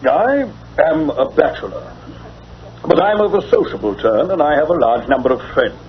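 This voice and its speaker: Fussy, indignant English gentleman, dull recording. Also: fairly high pitch, big pitch swings, moderate pace, a little hiss or hum. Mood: aggrieved recounting. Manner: pompous, formal. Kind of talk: old-time radio drama acting. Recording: dull lo-fi old radio recording.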